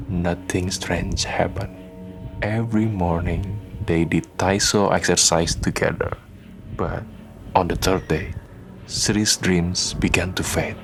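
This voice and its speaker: Low, gravelly male drama narrator. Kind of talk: scripted radio drama narration.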